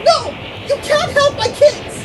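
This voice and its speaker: A woman's voice: very high-pitched, takes it slowly, poor audio. A woman voicing an anguished, vengeful female ghost, wailing and shrieking in an audio drama.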